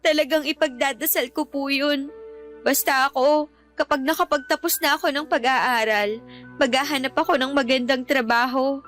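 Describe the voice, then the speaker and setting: A nineteen-year-old Filipina daughter in a radio drama. Her voice is high, sweet and respectful, earnest in a loving way. Quiet music runs underneath. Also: steady tone, normal speed. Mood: earnest, wistful.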